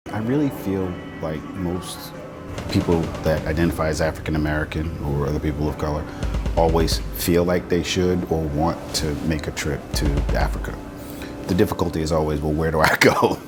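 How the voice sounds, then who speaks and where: A man from Savannah, Georgia, answering interview questions in a reflective, unhurried way. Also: very deep voice, fairly even intonation, over music. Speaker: interviewee, cyclist.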